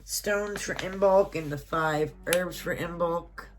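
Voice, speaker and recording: A woman's voice: fairly low pitch, takes it slowly, background noise. A woman with a stuffy, nasal voice as if getting a cold, unboxing a subscription box at home, reading out items and prices with chatty asides. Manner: reads item lists and prices, chatty asides. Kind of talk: casual unboxing, reading from a booklet. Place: small room at home.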